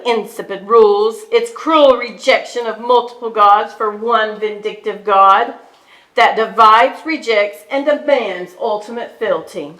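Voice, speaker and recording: Female actor for bitter pagan queen mother, stage drama: imperious, cutting bright voice, scornful delivery, roomy acoustic. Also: mid-range voice, close to an everyday voice. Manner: haughty, mocking, declamatory. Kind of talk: theatrical stage reading. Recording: echoey stage hall.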